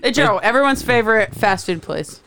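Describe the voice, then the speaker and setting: A woman's voice: a little high, lively intonation, moderate pace, fairly bright tone. A young woman co-hosting a casual podcast, chatty and full of questions, laughing easily. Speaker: podcast co-host. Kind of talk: casual chat.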